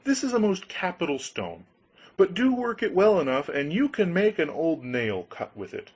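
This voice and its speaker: A man's voice: high-pitched, very animated, moderate pace, breathy edge, voice pushed up, exaggerated voice. A man voicing a sly, jovial scissor grinder in a storybook tale, hearty and persuasive. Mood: coaxing. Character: sly, jovial male scissor grinder, smooth-talking tradesman. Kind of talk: storybook character voice.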